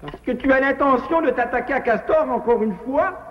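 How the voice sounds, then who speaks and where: An actor voicing a fawning, chatty male sidekick in a cartoon, flattering his boss. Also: very high voice, moderate pace, big-room sound, highs cut off, slight background noise.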